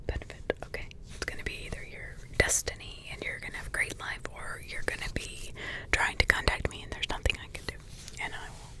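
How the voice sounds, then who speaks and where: A doting old witch, grandmotherly and tender, as a woman plays her, breathes every word in a hushed whisper at an ordinary middle pitch. She drifts into fond little asides and endearments as she hands over her potions. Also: natural voice, poor audio. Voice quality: soft whisper throughout. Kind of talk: ASMR roleplay.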